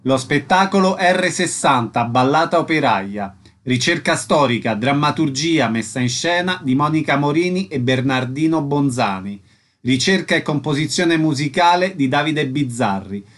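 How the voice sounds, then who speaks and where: A male radio host who reads out the show credits and then interviews a guest in a clear, announcer-like way. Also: on the high side, lively intonation, moderate pace, fairly bright tone.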